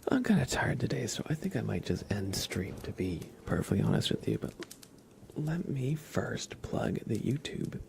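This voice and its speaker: A man streaming ASMR in a soft, often whispery voice, chatting in an unhurried, low-key way with pauses. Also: vocal fry, slight background noise. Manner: hushed, unhurried, relaxed delivery with pauses. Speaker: ASMR livestreamer.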